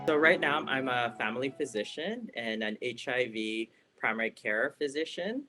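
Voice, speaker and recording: A mid-pitched man speaking in a sit-down interview. He talks in a measured way, pausing on the odd filler. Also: animated, music in the background, poor audio. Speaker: interviewee, family physician. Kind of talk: casual interview storytelling.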